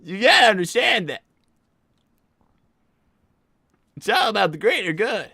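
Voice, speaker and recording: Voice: mid-range voice, sing-song, fast, bright, forceful tone, no room sound, studio quality, full character voice. A performer voicing a bashful, boyish young male fox in live character roleplay, hesitant, waffling and stammering. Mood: earnest, defensive.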